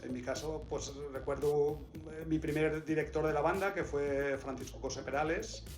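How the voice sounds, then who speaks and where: A man's voice: fairly high pitch, fairly even intonation, normal speed, a little mellow, soft background music. Man from Valencia, echoey room. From Valencia, Spain. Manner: reflective, many restarts and repeated words. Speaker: clarinetist in a quartet. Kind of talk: interview answers.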